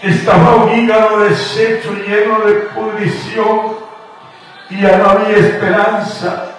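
A man's voice: high voice, flat delivery, a little slow. A man from Guatemala, preaching a radio sermon in a fervent, sing-song cadence. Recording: echoey, distant radio broadcast with faint music.

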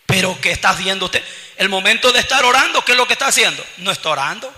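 Salvadoran guy preaching, really high pitched, super sharp bright voice, keeps saying hermano. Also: expressive, quick pace. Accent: Salvadoran Spanish. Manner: fervent preaching, keeps addressing the congregation as brothers. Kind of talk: sermon. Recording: long reverb of a large hall.

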